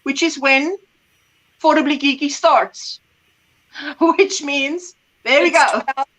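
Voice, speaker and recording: A woman's voice: high voice, slow pace, a bit bright, rough recording. A middle-aged woman, an Afrikaans speaker, hosting a casual livestream chat, rambling and searching for words as she reads comments. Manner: chatty, rambling, searches for words, laughs often. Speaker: livestream host.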